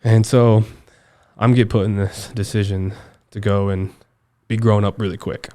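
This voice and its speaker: The guest across the table on a long-form podcast, a young American guy with a lowish voice, reliving his own past in emphatic, stop-and-start fashion. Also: level tone, dark tone. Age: 20s. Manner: halting, emphatic, repeats himself, says dude and man often. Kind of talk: personal storytelling in a casual interview.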